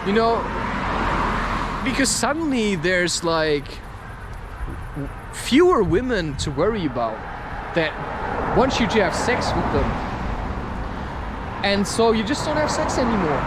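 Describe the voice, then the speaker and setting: A man's voice: high voice, a bit bright, slight background noise. A man rambling through a spontaneous, musing monologue, loosely putting rhetorical questions as he goes. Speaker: podcast host.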